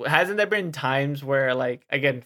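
A man's voice: mid-range voice, lively intonation, a little slow, background noise. A man co-hosting a podcast, opening the show and chatting casually with an easy, amused manner. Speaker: podcast co-host. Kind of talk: casual chat.

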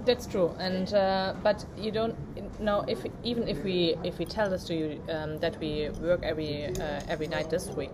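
Woman co-host with halting non-native phrasing. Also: on the low side, fairly even intonation, a crowd in the background. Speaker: podcast co-host. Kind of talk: casual motivational chat.

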